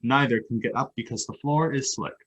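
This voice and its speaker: A man's voice: medium pitch, fairly quick, a bit bright. A man reading out stage directions as scripted narration at a play table read.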